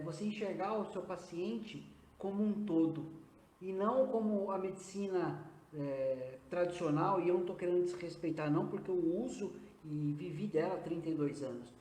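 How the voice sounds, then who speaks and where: A man in his fifties with a Brazilian accent, a nurse and acupuncturist answering interview questions earnestly, often pausing on hesitant fillers. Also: high voice, mellow. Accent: Brazilian Portuguese. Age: 50s. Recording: roomy echo.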